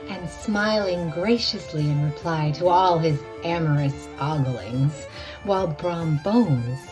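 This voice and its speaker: A woman narrating a storybook tale for a puppet show in a theatrical, expressive way, savoring the words. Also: low-pitched, low-quality recording.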